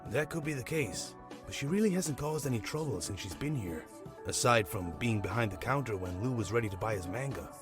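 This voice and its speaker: A man's voice: medium pitch, expressive, brisk, over music, lightly acted. A man acting a friendly, teasing, reasonable shopkeeper in an audio drama. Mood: reasoning. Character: friendly, teasing, reasonable male manga shop keeper.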